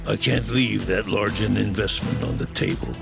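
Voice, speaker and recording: A powerful older businessman in a game drama, very gravelly and deep. He speaks in a measured, calculating manner, every phrase deliberate, with music playing clearly behind him. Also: fairly even intonation. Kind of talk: dramatic video game cutscene acting. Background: dramatic background music.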